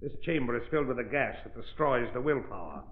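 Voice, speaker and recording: Man acting a sneering gravelly gang boss, tinny radio. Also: mid-range voice, lively intonation, fast, natural voice. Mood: mocking. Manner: menacing, sneering. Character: ruthless, sadistic male gang boss. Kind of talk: old-time radio drama acting. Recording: tinny, hissy old radio recording, roomy.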